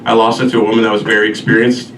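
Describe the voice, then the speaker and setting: Echoey stand-up set from a deadpan man. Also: lowish voice, very fast. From Albania. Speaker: stand-up comedian. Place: live comedy show before an audience. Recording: roomy echo, distant mic, noisy live venue.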